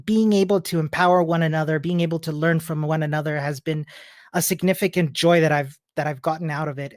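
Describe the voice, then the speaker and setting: A man's voice: fairly high pitch, no echo, studio quality. A man from Chile giving a conference talk as a personal story, in a friendly, informal manner. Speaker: conference speaker, software developer. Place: remote virtual meetup presentation.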